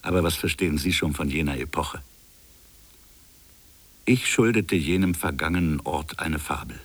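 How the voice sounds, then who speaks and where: Colonial official, bass-deep voice, measured, solemn, reflective, defiant, literary radio drama. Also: male voice. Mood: indignant. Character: defiant, reflective colonial official defending his invented fable.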